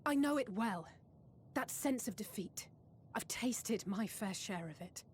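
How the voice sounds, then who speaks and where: Earnest, high-voiced young heroine. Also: female voice, lively intonation, a little hiss or hum. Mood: resolute, heartfelt. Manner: earnest, deliberate. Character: earnest, steadfast young heroine rallying against despair. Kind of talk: dramatic video game acting. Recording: music behind the voice.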